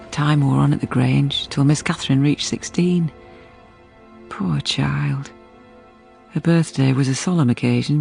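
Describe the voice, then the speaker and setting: Low, gravelly-voiced housekeeper. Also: female voice, darkish tone, heavily acted. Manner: grave, measured, cautioning. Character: sensible, anxious housekeeper telling her gothic tale. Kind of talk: radio drama narration and dialogue.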